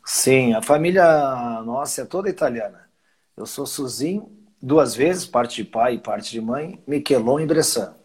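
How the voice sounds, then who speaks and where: A husky-voiced man, talking casually as an interview guest. He rambles, restarts his phrases and chuckles as he goes. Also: mid-range voice, lively intonation, a little slow, a little mellow. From southern Brazil. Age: 50s. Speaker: winery owner, guest.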